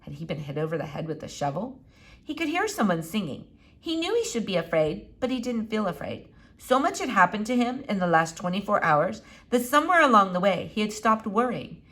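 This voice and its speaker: Lively woman reading a children's story. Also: medium pitch, brisk. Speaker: audiobook reader. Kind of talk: expressive children's story narration.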